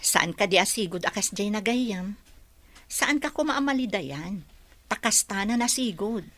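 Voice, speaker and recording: Consoling Filipino mother, lively intonation. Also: medium pitch, measured pace. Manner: comforting, advising. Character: consoling elder village mother, wise and sympathetic. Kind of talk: Ilocano radio drama acting.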